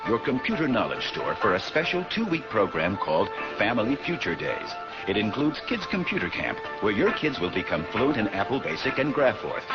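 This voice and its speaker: A male announcer reads a radio commercial from a script. His lowish voice swings in pitch in a lively way. Loud music plays behind, and the sound is dull. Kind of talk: scripted ad read.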